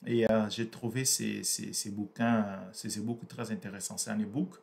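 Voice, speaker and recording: A man's voice: on the low side, flat delivery, moderate pace, creaky, a little mellow. Hesitant man with a Portuguese mother tongue. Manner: hesitant, repeats words, frequent fillers. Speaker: book reviewer. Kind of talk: explanatory book review talk.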